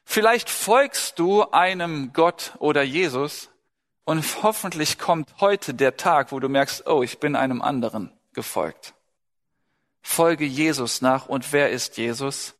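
A male preacher with a slightly high voice speaks to a congregation in a large, echoing hall. His sermon is conversational and turns often to questions aimed straight at the listeners. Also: expressive, takes it slowly, a bit bright. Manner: conversational, asks listeners questions, acts out short dialogues. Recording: large hall with long reverb.

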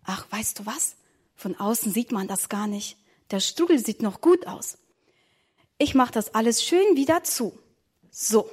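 A woman voicing a cheerful young schoolboy who talks in a lively, confident, childlike way. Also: high-pitched, measured pace, pauses often. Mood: reassuring.

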